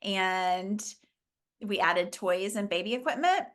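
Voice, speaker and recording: A middle-aged woman from Oklahoma, a business founder chatting as a guest, telling her story with quick laughs. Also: mid-range voice, expressive, a bit bright. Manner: chatty, laughs often, upbeat. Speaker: franchise founder, interview guest. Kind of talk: casual storytelling.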